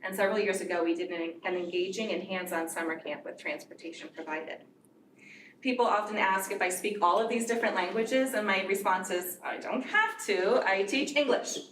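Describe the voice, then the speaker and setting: A woman's voice: mid-range voice, brisk. A woman teacher of English learners giving an earnest prepared speech at a board meeting. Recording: echoey room from far off, noisy.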